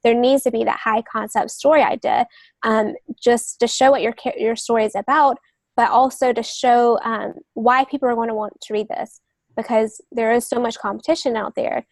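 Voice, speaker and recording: Female podcast guest expert, explanatory and conversational. Higher pitch, little melodic movement. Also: fairly even intonation, normal speed, no echo. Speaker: guest expert, novelist and agent.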